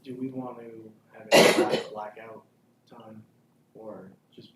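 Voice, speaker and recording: A man's voice: lowish voice, little pitch movement, takes it slowly. A man asking questions informally as a participant in a meeting. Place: meeting room, picked up from a distance. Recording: echoey room, distant mic, clear noise.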